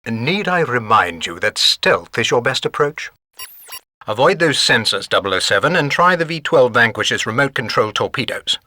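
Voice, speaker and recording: An actor playing a fussy British gadget master in a spy video game, speaking formally in a clipped, dryly exasperated way. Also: male voice, medium pitch, sing-song, moderate pace, sharp tone, heavily acted. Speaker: mission support quartermaster. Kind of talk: video game mission briefing voice acting.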